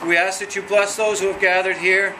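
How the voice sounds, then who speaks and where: A man's voice: high-pitched, flat delivery, background noise. A man leading an earnest spoken prayer at a nighttime outdoor vigil in a gazebo, recorded by a distant camera. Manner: earnest, measured praying.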